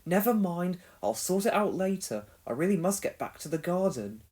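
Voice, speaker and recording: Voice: mid-range voice, sing-song, normal speed, a little mellow. A storybook narrator voicing an upbeat, encouraging male builder who leads a team. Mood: mildly flustered. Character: cheerful, can-do adult male builder boss.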